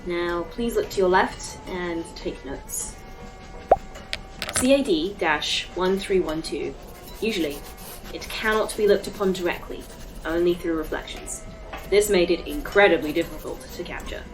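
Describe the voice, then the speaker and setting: An actress playing a polite, proud artificial intelligence tour guide in a sci-fi audio drama, speaking formally and precisely. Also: female voice, fairly low pitch, unhurried, soft background music, close to an everyday voice, poor audio. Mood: instructive. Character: polite, proud female A.I. tour guide in lifelike human form. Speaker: actor in a sci-fi horror fiction podcast.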